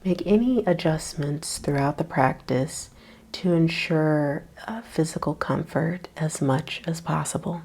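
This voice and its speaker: A woman teaching a guided yoga nidra meditation, speaking softly with long pauses and breathy, trailing words. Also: sits low.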